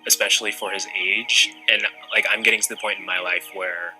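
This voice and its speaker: A man of twenty from the United States with a fairly low, very even voice. He speaks in an earnest, hesitant interview style. Soft music sits underneath. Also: brisk, rough recording. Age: 20s. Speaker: interviewee in a short film. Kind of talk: reflective interview talk.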